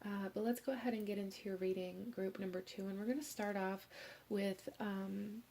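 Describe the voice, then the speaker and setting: A young woman gives a tarot reading in a soft, gentle voice, hoarse from a recent cold. Her pitch stays level and even, and she often drops into a near-whisper. Faint noise hisses behind her. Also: normal speed. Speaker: tarot reader. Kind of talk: pick-a-card tarot reading.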